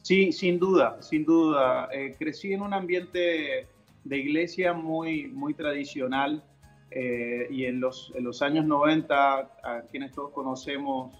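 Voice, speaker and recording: A man's voice: fairly high pitch, slow pace, faint music behind. A middle-aged man from Chile, answering interview questions casually as a guest on a video call. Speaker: interview guest, pastor and worship musician. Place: indoor room at home, on a video call. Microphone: video call device microphone.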